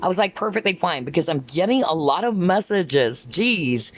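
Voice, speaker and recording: A woman giving a rambling spiritual card reading, filling her talk with "like" and "um" as she pauses to pick up messages. Her voice is going hoarse. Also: fairly low pitch, lively intonation, soft background music. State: losing her voice. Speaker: tarot card reader.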